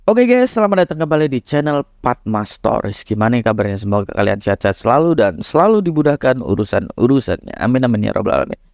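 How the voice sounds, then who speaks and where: Young guy, kinda hoarse and nasal from a cold, laid-back horror storyteller, middle pitch. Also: sing-song, quick pace, few pauses, darkish tone. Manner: chatty, addresses viewers as guys. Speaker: horror storyteller, channel host. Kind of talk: casual horror story narration.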